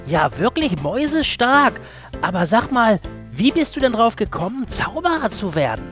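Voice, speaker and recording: A cheerful cartoon mouse mascot, played by a woman, with a raised, swooping voice. The mouse is curious and easily excited, presenting a children's show in a friendly, scripted style. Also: on the high side, normal speed, soft background music. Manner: excited, enthusiastic.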